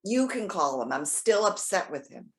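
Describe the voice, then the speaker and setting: A woman's voice: on the low side, sing-song, creaky. A woman playing a stubborn, lovelorn elderly mother in a readers theater drama, with a curt, sullen way of talking. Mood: bitter, hurt. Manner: curt, sullen, halting when pleading. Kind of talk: readers theater stage acting.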